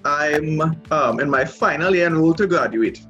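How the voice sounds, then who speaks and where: A man's voice: on the high side, expressive, normal speed, sharp tone, lo-fi sound, soft background music. A man in his twenties with a Trinidadian accent, a student casually introducing himself on a video call. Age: 20s. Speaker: university student guest. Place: indoor room, video call.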